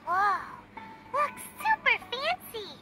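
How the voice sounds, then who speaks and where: Squeaky, chirpy tiny fairy companion. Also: female voice, slow pace, music in the background, heavily acted. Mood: cheerful. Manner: excitable, cute, refers to self in third person. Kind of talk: video game character acting.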